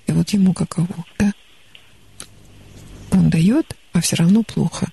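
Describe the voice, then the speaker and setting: Husky gravelly woman counselling on radio. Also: lowish voice, measured pace. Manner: calm, gently advising, many restarts. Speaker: radio psychologist. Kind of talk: call-in counselling. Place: radio studio.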